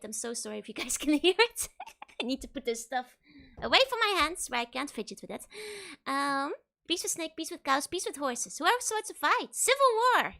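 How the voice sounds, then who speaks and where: Giggly, hoarse young woman streamer. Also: high-pitched, bright, forceful tone. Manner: giggly, rambling, playful. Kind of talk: casual game stream chat.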